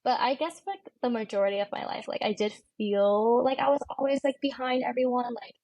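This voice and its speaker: A woman's voice: on the high side, fairly even intonation, a little fast, mellow, dry recording. Young American woman, candid and close-miked. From California, United States. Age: 20s. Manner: informal, candid, peppers speech with "like". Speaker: podcast guest, medical student. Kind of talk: casual personal interview.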